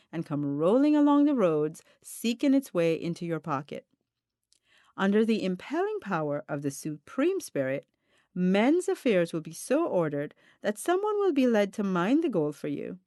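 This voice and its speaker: Woman narrating, lively intonation, dry close sound. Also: medium pitch, no room sound. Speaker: audiobook reader. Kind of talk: scripted narration of a self-help book.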